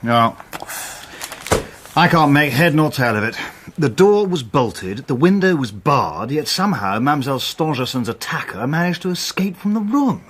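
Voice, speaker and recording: A formal, slightly pompous barrister in a radio drama, dry and easily exasperated. His delivery swings widely in pitch, with faint background noise and ambience around him. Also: big pitch swings, normal speed, fairly bright tone. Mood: puzzled. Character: Sinclair, a stuffy, dryly exasperated barrister and narrator. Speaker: narrator and actor. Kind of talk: radio drama narration and dialogue.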